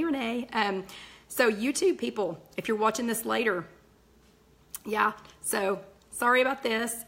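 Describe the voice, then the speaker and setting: A middle-aged woman, her voice raspy and nearly gone, hosting a live chat and greeting viewers by name between thoughts. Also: a little high, fairly bright tone. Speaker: host of a live weight-loss chat.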